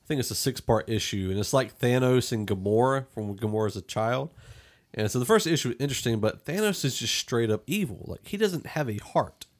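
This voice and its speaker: Male podcast host, mid-pitched voice, casual and opinionated review chat. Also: lively intonation, fairly quick.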